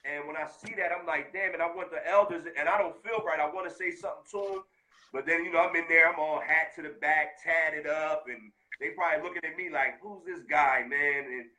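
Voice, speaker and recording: A man's voice: fairly high pitch, a little fast, low-quality recording. Heartfelt slangy American man. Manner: heartfelt, street slang, animated. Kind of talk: casual conversation.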